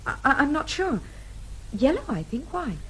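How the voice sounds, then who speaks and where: A woman's voice: sits high, big pitch swings, normal speed, slight background noise, rough recording. An actress playing a spirited, self-assured young English woman in a radio drama, polite and direct, with an English accent. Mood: guarded, defiant. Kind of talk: British radio drama acting.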